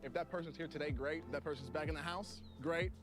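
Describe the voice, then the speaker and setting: A man's voice: on the high side, fast. A young man from the United States, laid-back and joking in a casual date chat. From Dallas, USA. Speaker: reality dating show contestant. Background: show music.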